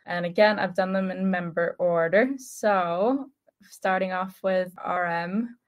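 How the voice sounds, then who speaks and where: A woman's voice: on the low side, fairly even intonation, slow. A woman in her twenties hosting a casual fan chat podcast. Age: 20s. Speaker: podcast host.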